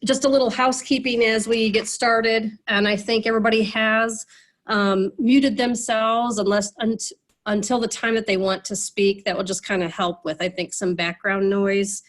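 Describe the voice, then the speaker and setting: A woman with a fairly high voice runs an online meeting, nasal from a cold. She talks in a chatty way, with plenty of fillers and restarts, over a video call. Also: fairly even intonation, moderate pace. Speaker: meeting moderator.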